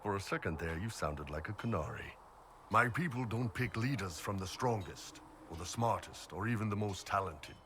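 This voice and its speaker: A man's voice: lowish voice, lively intonation, moderate pace, a bit bright, slight background noise. A man playing a huge horned mercenary captain in a video game, blunt, shrewd and easygoing, speaking colloquially. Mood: frank, musing. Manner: laid-back, blunt, colloquial.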